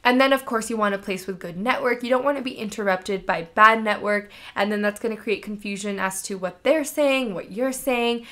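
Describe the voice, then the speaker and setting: A woman speaking as a career coach, giving instructional advice in an expressive, emphatic, conversational way. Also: medium pitch, moderate pace, hardly any pauses.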